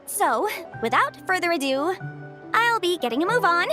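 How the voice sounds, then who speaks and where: A woman's voice: extremely high pitch, big pitch swings. A woman voicing a chatty young female legal consultant with adeptal blood and small horns, in video game dialogue. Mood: flustered. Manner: brisk, chatty, flustered when dodging questions. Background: game music.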